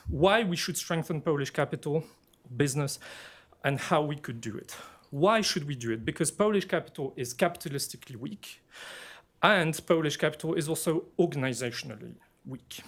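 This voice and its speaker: A man from Belgium with a French-influenced accent, giving a measured academic panel presentation as a political scientist, pausing often with fillers. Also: fairly high pitch. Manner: measured and deliberate, with frequent filler pauses.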